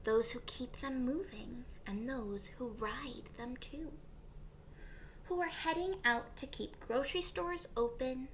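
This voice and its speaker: A woman reading a picture book aloud to young children as a teacher would, expressively, with pauses and questions for her listeners. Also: high voice, fairly bright tone, a little hiss or hum, low-quality recording.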